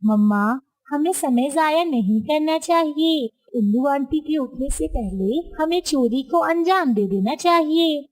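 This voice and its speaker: A woman's voice: high-pitched, expressive, measured pace, hardly any pauses, a little mellow, poor audio. A woman voicing an eager, sly young male crow child in a cartoon story.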